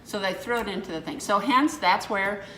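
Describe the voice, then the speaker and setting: A woman's voice: medium pitch, moderate pace, a bit bright, roomy sound, a little hiss or hum. A woman in her fifties co-hosting a casual chat, telling rambling stories that keep correcting themselves. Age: 50s. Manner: rambling, self-correcting storyteller. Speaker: co-host.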